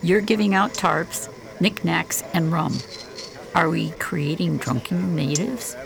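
Woman acting as a creaky-voiced, skeptical space explorer. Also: fairly low pitch, slow pace, heavily acted. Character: skeptical, principled female expedition colleague challenging the leader. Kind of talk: science fiction radio drama acting.

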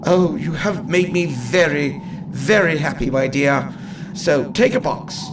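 A man's voice: on the high side, a little slow, lightly acted. Man playing a pompous inventor, distant and noisy. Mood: eager. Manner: pompous, courteous, theatrical. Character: eccentric braided male inventor and salesman, chatty, proud. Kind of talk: amateur radio play acting.

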